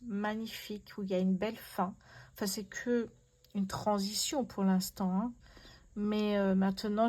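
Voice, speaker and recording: A woman's voice: medium pitch, darkish tone. Effusive woman reading tarot cards. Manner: effusive, awestruck, repeats herself in wonder. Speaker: host, tarot card reader. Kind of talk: casual tarot reading addressed to viewers.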